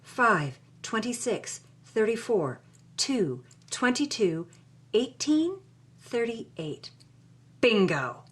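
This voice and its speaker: A woman's voice: fairly high pitch, sing-song, extremely slow, full character voice. A woman playing a plucky, jaded 1950s Hollywood starlet, narrating her own story in a wry, punchy noir style. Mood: excited, curious. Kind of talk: first-person noir-style scripted narration.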